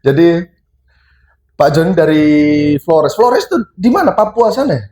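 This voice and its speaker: A man's voice: high-pitched, big pitch swings, unhurried, a little mellow. A man hosting a casual podcast interview, chatty and joking, firing short questions at his guest. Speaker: podcast host.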